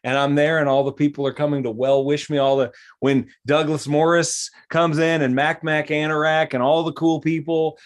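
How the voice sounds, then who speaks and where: A man's voice: a little high, flat delivery, normal speed, hardly any pauses. A man in his forties co-hosting a podcast, rambling through crude jokes and stories with many fillers. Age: 40s. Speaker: podcast co-host. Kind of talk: casual profane banter.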